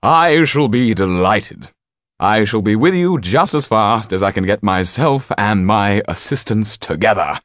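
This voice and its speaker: An exuberant stage magician from a storybook, acted out by a man, pitched well up and declaiming grandly. He is excitable and ambitious, relishing every phrase in a theatrical flourish. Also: a little slow, dry recording, studio quality. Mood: delighted.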